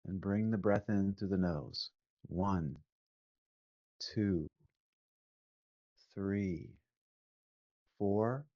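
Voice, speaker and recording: Slow, low-voiced man guiding meditation. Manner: calm, measured, gently instructing with long pauses. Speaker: meditation teacher. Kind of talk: guided meditation instruction. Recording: phone-like narrow band, roomy echo.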